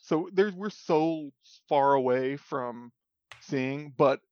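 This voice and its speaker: A man's voice: on the high side, slow, a little mellow, no room sound, dull audio. A man co-hosting a podcast in casual chat, teasing the others and laughing often. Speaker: podcast co-host.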